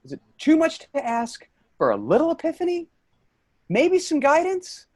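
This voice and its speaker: A man's voice: very high-pitched, huge pitch swings, normal speed, a little mellow, exaggerated voice. An actor in a staged play reading, playing a defensive, embittered career man haunted by his dead friend. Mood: bitter, reminiscing.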